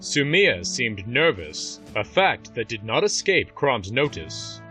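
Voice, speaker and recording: A man's voice: mid-range voice, big pitch swings, takes it slowly, pushed and bright, soft background music. A man narrating a scripted fantasy story as the narrator of an audio drama.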